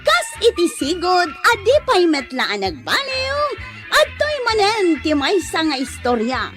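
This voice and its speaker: A woman with an Ilocano accent giving an animated, scripted introduction to a show. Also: very high-pitched, a little slow, music in the background.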